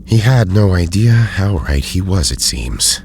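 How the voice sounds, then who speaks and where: An actor playing an erudite, weary but loyal scientist in an audio drama, speaking in formal, deliberate phrases. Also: male voice, deep voice, very animated, darkish tone, slight background noise. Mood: solemn, probing.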